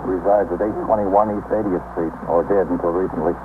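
Man acting police lieutenant, brisk, authoritative, low-pitched, fast, 1950s radio drama, noisy old recording. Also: fairly even intonation, narrow, tinny audio, close to an everyday voice. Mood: businesslike. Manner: curt, businesslike, commanding.

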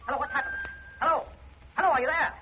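A man's voice: very high voice, wildly swooping pitch, fast, heavily acted. An actor playing an agitated, insistent man in authority in a 1950s radio drama, abrupt in manner. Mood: alarmed, insistent. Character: agitated, impatient man in authority answering calls. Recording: muffled, noisy old radio recording.

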